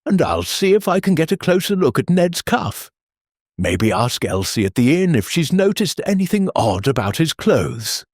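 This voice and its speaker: A man's voice: a little high, very animated, normal speed, dry recording, clean studio sound, pitched above the performer's own voice. A man reading eager young woman helper.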